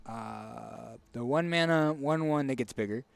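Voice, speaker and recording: A man with a raspy, hoarse voice casually commenting on a card game as he picks cards, thinking aloud with fillers and restarts. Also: medium pitch, a little slow, no echo. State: hoarse, tickly throat. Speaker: streamer.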